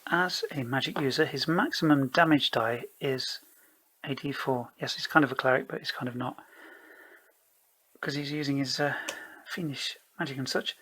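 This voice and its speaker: A man's voice: medium pitch, normal speed, bright, forceful tone, breathy edge. A man narrating his own solo tabletop role-playing game in a casual, rambling way, self-deprecating and playfully theatrical.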